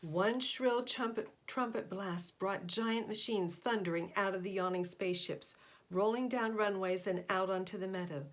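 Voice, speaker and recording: A woman's voice: medium pitch, moderate pace. A woman reading a picture book aloud to children, stressing key words expressively and speaking aside to her listeners. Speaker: volunteer picture-book reader.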